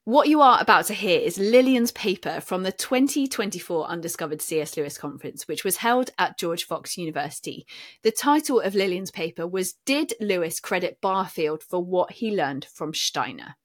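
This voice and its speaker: A woman host introducing a recorded conference talk in a measured, formal way. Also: on the low side, lively intonation, no room sound. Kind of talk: scripted introduction.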